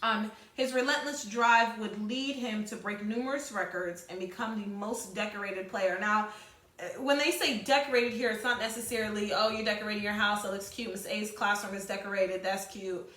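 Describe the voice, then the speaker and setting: A woman teacher leading a read-aloud lesson, informally addressing pupils with explanatory asides and slightly halting reading. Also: mid-range voice, steady tone, fairly bright tone. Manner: halting, self-correcting reading. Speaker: sixth-grade teacher. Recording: echoey room.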